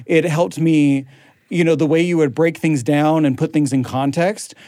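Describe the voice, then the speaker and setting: A man's voice: fairly high pitch, fairly even intonation, moderate pace, mellow, no echo. A man chatting casually as a guest, enthusiastic and full of fillers. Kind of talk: casual conversation.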